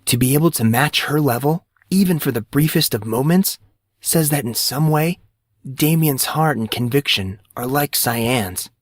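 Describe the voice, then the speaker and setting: A man's voice: mid-range voice, lively intonation, moderate pace, dry recording, clean studio sound, pitched above the performer's own voice, close to an everyday voice. A man playing an earnest fourteen-year-old boy who explains things solemnly and with conviction in an audiobook.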